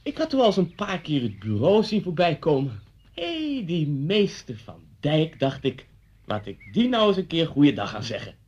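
Muffled seventies radio drama. a chatty, needling policeman. His husky voice swings widely as he flatters and spins stories theatrically. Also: fairly high pitch, normal speed. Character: chatty, needling neighbourhood policeman, former pupil, adult man. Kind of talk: radio thriller acting.

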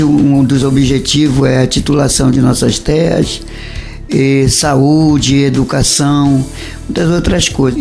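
A man with a northern Brazilian accent giving halting, informal answers in an interview as a community leader. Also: mid-range voice, gravelly, darkish tone. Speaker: interviewee, community federation president.

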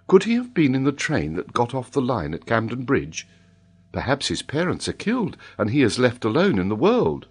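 Man as a compassionate storybook lady, lifted pitch, gentle, solicitous. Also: huge pitch swings, normal speed, breathy edge. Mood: pitying. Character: kind, compassionate, gently concerned noble lady.

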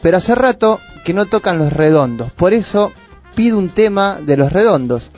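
Man, Argentine accent, radio co-host, reads messages, slightly high, faint music. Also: big pitch swings, moderate pace, darkish tone. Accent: Argentine Spanish. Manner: reads listener messages aloud. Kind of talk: casual community radio chat. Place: radio studio.

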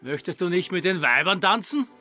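A man's voice: high-pitched, expressive, a little fast, pushed and bright, roomy sound, soft background music, heavily acted. An actor playing a brash, suspicious village man with a blunt, challenging manner in a radio drama. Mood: taunting, hostile. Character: brash, coarse, suspicious male village bully.

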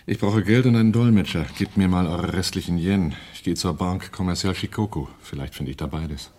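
Low-voiced weary fugitive, dry and curt, german radio play. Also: male voice, a little fast, noisy background. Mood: businesslike. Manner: terse, dry, laconic. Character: Altmaier, curt, world-weary man on the run, narrating.

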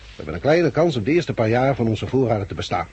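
Casting: blunt loudmouth leader, very raspy, mid-pitched, forceful lecturing, 1960s radio play. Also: male voice, very animated, quick pace. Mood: earnest, lecturing. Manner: forceful, lecturing, coarse-tongued. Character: blunt, loudmouthed male labour agitator turned pragmatic survivor leader. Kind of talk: 1960s radio drama acting. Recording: muffled-edged 1969 radio play recording.

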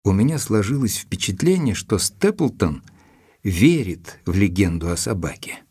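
An actor playing an observant English gentleman who narrates his written report in a measured, expressive audio-drama storytelling style. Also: on the low side, breathy edge, dry recording. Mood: reflective. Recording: dry studio recording with music behind. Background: music.

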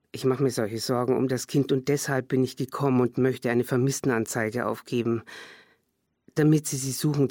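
Besorgte, fromme Frau, trocken aufgenommen. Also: female voice, very deep voice, darkish tone, dry recording, studio quality. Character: worried, pious relative reporting a young woman missing. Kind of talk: radio play monologue.

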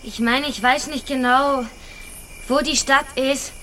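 A slight young woman drifting alone in a radio drama. Her voice sits a little high and she speaks hesitantly, with guarded politeness. Faint night noise with crickets lies behind her. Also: steady tone, takes it slowly, pushed and bright, full character voice, low-quality recording. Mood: guarded, weary. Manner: hesitant, polite, evasive short answers. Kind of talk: radio drama acting in German.

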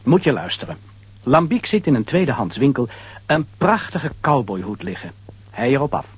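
A man's voice: mid-range voice, big pitch swings, moderate pace, breathy edge, dry recording. A man narrating a children's audio play as a conversational, dramatic storyteller.

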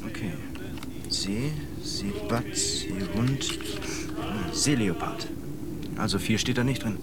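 A man's voice: fairly low pitch, takes it slowly. A man playing a practical, urging expedition comrade in a naturalistic radio drama, speaking colloquially. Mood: casual, reading aloud. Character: practical, urging male expedition comrade, protective of younger mate. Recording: loud outdoor ambience noise. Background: loud ambient noise.

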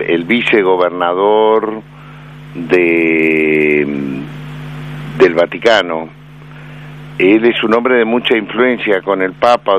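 A man with an Argentine accent, commenting on a radio interview in a measured, hesitant way with many fillers. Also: low-pitched. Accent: Argentine Spanish. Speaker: radio commentator on Vatican affairs. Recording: dull lo-fi AM radio broadcast with faint noise.

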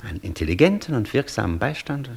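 A man's voice: mid-range voice, very animated, fast, gravelly, slightly rough, heavily acted. A man acting a proud, cool intellectual in a German radio play, with a declamatory, argumentative and precise delivery. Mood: reflective, disdainful. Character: proud intellectual scholar, cool, challenging the Devil.

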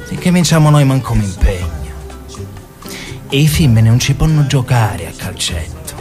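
An actor playing a cocky, sexist mobster with a southern Italian accent, taunting and theatrical. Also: male voice, mid-range voice, sing-song, moderate pace, a little mellow, faint music behind, close to an everyday voice. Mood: boastful, defiant. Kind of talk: stage monologue and dialogue in radio drama.

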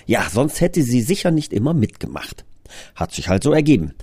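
A man's voice: mid-range voice, very animated, moderate pace, darkish tone, husky, dry recording, studio quality. A man presenting his own audio drama in a scripted but conversational trailer, explaining things earnestly.

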